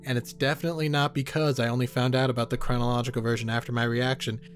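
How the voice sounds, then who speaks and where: A man's voice: medium pitch, flat delivery, fast. A man giving comedic video essay commentary in a sarcastic, joking, casually profane way. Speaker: YouTube horror reviewer. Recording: music behind the voice. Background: background music.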